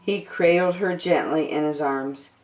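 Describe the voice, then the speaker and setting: A woman's voice: low voice, unhurried, darkish tone, echoey room, poor audio. A woman reading a storybook expressively as a storyteller for children.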